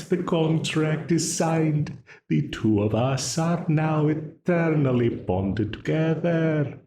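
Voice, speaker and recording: Brief: male actor as a smug, menacing demon in an audio roleplay, very slow, swooping pitch, roomy echo. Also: warm tone. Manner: slow, taunting, with frequent laughs.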